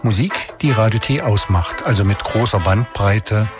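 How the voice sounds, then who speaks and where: A man's voice: fairly low pitch, normal speed. A man reading a scripted radio station promo as an announcer. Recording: loud music bed under voice. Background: loud music.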